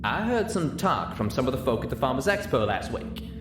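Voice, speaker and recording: A man's voice: mid-range voice, sing-song, a little fast, echoey room, music in the background, voice pushed up, natural voice. A man voicing a plain-spoken female farm pony with a folksy Southern US drawl, in a story reading. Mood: grave.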